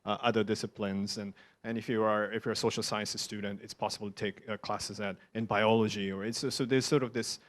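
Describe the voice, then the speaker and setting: A man's voice: lowish voice, level tone, fairly quick. A man moderating an online Q&A session, speaking hesitantly with many ums and uhs. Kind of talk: hosting an online Q&A.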